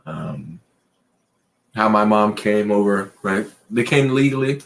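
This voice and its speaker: A man co-hosting a casual late-night chat show, talking in a loose, rambling way and pushing his pitch up and down for emphasis. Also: animated, slow, creaky, a bit bright. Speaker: podcast co-host.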